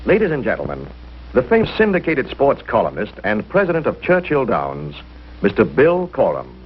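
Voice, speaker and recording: A man's voice: mid-range voice, wildly swooping pitch, moderate pace. A man announcing a scripted 1950s commercial. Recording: muffled, slightly noisy 1950s broadcast.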